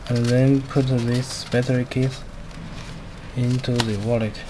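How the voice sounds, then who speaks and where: Male product demonstrator, non-native English, mid-pitched, clipped halting instructions, noisy amateur recording. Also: little pitch movement. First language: not a native English speaker. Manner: halting, simple clipped phrasing. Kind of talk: step-by-step spoken product demonstration.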